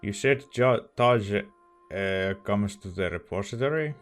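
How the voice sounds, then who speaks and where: A man hosting a let's play, giving casual, halting reactions as he plays. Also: lowish voice, lively intonation, faint music behind. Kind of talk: casual gameplay commentary.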